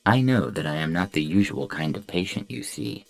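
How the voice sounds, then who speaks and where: A man voicing a gentle, courteous robot patient with polite, precise, formal phrasing in a science fiction audio story. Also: low voice, lively intonation, moderate pace, creaky, dry recording. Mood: polite, hesitant. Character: gentle, scrupulously courteous childlike-eyed robot therapy patient. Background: music.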